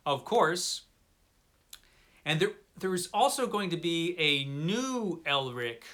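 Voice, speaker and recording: A man's voice: a little high, sharp tone. A middle-aged man talking casually and unscripted to camera as a book vlogger, with a dry, playful manner and a habit of repeating himself.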